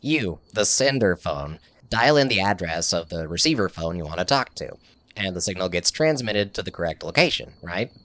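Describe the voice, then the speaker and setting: A man's voice: low voice, expressive, normal speed, fairly bright tone, no room sound. A man playing an eager, nerdy tech tinkerer in an audio comedy, explaining things in a casual, slightly awkward way. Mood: earnest explaining. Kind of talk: audio sitcom acting.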